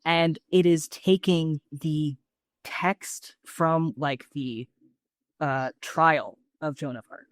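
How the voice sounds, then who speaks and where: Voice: mid-range voice, fairly even intonation, slow, dry recording. A podcast co-host chatting casually and enthusiastically, with plenty of slang and swearing.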